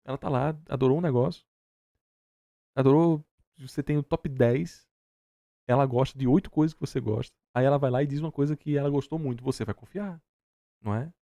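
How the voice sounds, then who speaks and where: Brazilian man, mellow voice, dry sound. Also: mid-range voice, a little fast, vocal fry, long pauses, no echo. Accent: Brazilian Portuguese. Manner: emphatic, informal and slangy. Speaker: reaction stream host. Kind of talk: casual opinionated commentary.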